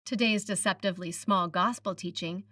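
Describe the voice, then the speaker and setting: A woman preacher reading a written sermon in a measured, reflective manner, putting questions to the listener. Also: medium pitch, fairly even intonation, pushed and bright, no room sound, studio quality, recorded from a distance. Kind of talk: sermon, read from a script.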